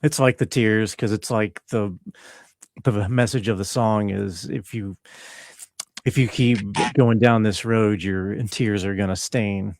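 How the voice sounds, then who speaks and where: A man chatting casually as an interview guest, explaining his creative work in a laid-back way with pauses. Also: on the low side, fairly even intonation, vocal fry, darkish tone, dry recording. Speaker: interview guest, composer and musician. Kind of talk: casual conversational interview talk.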